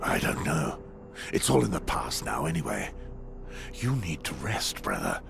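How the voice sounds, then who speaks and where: Deep, gravelly captive warrior. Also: male voice, huge pitch swings, unhurried, over music, full character voice. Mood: sombre. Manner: gruff, terse, brooding. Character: gruff, world-weary, defiant male warrior held captive. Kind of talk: dramatic video game voice acting.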